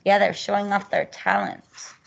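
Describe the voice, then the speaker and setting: A woman's voice: medium pitch, moderate pace, creaky, dull audio, slight background noise. A woman casually discussing a picture book, giving simple answers as if she were the student. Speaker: teacher playing the student in a reading demonstration.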